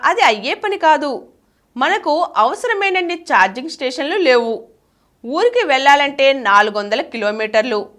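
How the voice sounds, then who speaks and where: Female narrator for scripted explainer. High register, highly varied melody. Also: a bit bright. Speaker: narrator reading an article for a channel.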